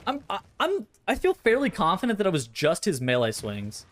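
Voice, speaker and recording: Casual, higher-voiced man doing gameplay commentary, voice tiring. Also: huge pitch swings, normal speed, fairly bright tone. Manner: blunt, sweary, thinking aloud. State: voice tiring, sore throat. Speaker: streamer. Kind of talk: casual gameplay commentary, explaining dungeon strategy.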